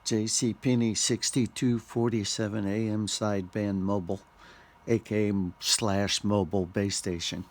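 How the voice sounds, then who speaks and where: A man giving casual, unscripted unboxing commentary as a vlogger, speaking in short clipped remarks with pauses. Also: fairly low pitch, level tone.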